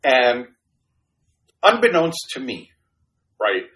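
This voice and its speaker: A man's voice: medium pitch, very animated, slow, a bit bright. A man in his fifties from Brooklyn, New York, whose first language was Spanish, telling his life story in a casual, self-deprecating interview. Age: 50s. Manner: self-deprecating, chatty, restarts and fillers. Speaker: podcast guest.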